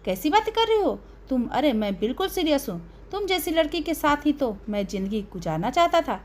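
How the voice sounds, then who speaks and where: Lady doing a husband in an audio story, short-tempered but smoothing things over, high lively voice. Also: brisk, a little hiss or hum. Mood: earnest.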